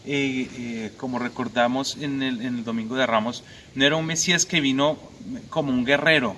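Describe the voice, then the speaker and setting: A young adult Franciscan friar from Bogotá with a Colombian accent gives a calm, reflective pastoral message outdoors by a church, pausing often with "eh". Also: male voice, medium pitch. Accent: Bogotá Colombian Spanish. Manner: calm reflective preaching with frequent "eh" pauses. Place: outdoors at a convent beside a church. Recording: clear outdoor background noise.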